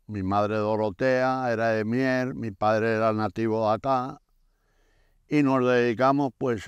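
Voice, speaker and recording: A man in his eighties a low, clear voice recalls his past for an oral history. He tells it plainly and colloquially, close and dry on the mic. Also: dry recording, highs cut off. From rural Asturias, Spain. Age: 80s. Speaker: emigrant interviewee.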